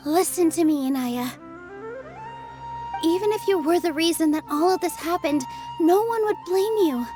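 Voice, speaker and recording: An actress voicing a kind young woman dancer who speaks in a soft, reassuring way. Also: very high voice, moderate pace. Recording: game audio with clear music.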